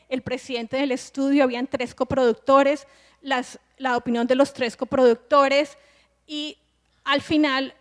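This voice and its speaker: A woman in her forties, a film director and screenwriting teacher, explaining things on a festival panel, thinking aloud and restarting often. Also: sits high. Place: large festival hall. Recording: long reverb in a large hall.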